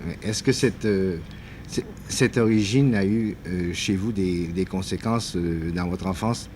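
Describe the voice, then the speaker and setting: Brief: low-voiced male interviewer, measured questions, faint hiss. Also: a little mellow. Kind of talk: broadcast interview.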